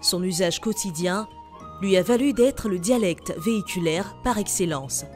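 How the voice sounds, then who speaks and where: A woman from Côte d'Ivoire, reading a scripted TV news voice-over in an even, measured way. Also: mid-range voice, soft background music. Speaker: TV reporter.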